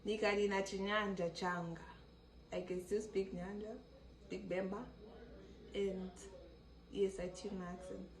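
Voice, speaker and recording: Slow-talking sassy Zambian woman. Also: medium pitch, level tone, roomy sound, slight background noise. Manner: sassy, playful. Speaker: vlogger. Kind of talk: casual chat to camera.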